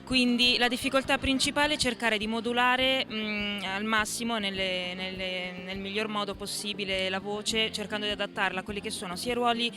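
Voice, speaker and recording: A woman's voice: medium pitch, fairly even intonation, moderate pace, few pauses. A woman answering an interview about her work, explaining in a hesitant way and searching for words. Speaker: volunteer film dubber, interviewee. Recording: music playing behind the voice.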